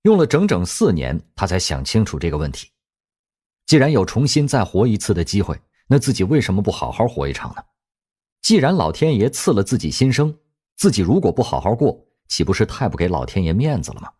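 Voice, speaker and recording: A man narrates an audio drama with a low, slightly husky voice. His delivery is wry and expressive, swinging widely in pitch as he tells the story. Soft music plays faintly behind him. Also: a little fast. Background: faint background music.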